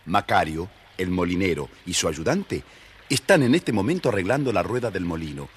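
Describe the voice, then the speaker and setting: A man narrating a children's fairy tale as a theatrical, husky-voiced storyteller. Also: low voice, very animated, moderate pace, a little hiss or hum. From Argentina.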